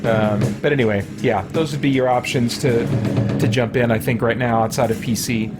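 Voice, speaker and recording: A man's voice: mid-range voice, steady tone, moderate pace. Rambling man playing games, music behind. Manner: rambling, musing, trails off into side thoughts. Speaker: gaming streamer, let's player. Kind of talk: casual gameplay commentary, thinking aloud.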